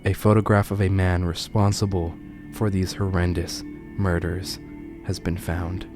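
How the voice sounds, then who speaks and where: A man narrating a scripted horror commentary video as its host, with a grave, deliberate storytelling delivery. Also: deep voice, level tone. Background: music under the voice.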